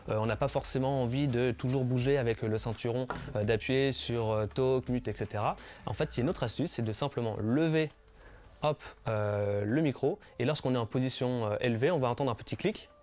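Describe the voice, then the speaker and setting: A man explaining equipment in a demonstration style, as a tutorial presenter, often pausing mid-sentence with hesitations. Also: medium pitch, a little mellow. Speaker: video production presenter giving a product tutorial.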